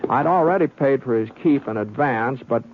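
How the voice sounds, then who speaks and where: An actor playing a reluctant, laconic drifter in a radio western, folksy and understated. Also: male voice, medium pitch, lively intonation, normal speed, noisy background, close to an everyday voice. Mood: wry, storytelling. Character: reluctant, laconic drifter telling his own western tale. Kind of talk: old-time radio western acting and first-person narration. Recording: dull old radio recording.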